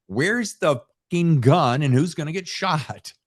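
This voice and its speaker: A man's voice: on the high side, lively intonation, measured pace. A middle-aged man hosting a casual podcast chat, rambling and salty-tongued as he tells his stories. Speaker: podcast host.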